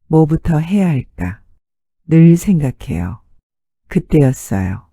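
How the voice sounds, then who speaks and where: A man narrating a children's bedtime story gently, in short, soft phrases. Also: a little high, no room sound, studio quality.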